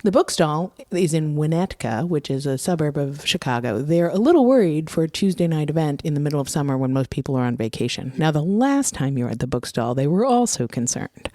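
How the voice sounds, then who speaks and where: A woman's voice: low voice, expressive, a little fast, hardly any pauses, mellow, no room sound, studio quality. A woman co-hosting a casual podcast, talking informally as the organizer, with a chatty, playful manner. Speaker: co-host and tour organizer. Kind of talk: casual unscripted podcast chat.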